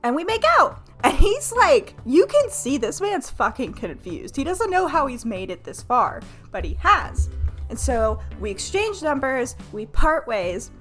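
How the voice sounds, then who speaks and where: A woman's voice: high-pitched, unhurried, soft background music. A woman from Florida with an American accent, telling a candid personal story to her live stream chat in a slangy, profane, self-mocking way. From United States, Florida. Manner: animated, self-mocking, acts out quoted dialogue; profane, slangy, heavy use of "like".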